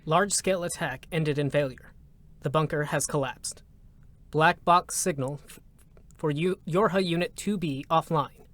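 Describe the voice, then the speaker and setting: A man narrating a scripted drama in a measured, solemn manner, with short, deliberate phrases. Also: fairly high pitch, a bit bright. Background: music.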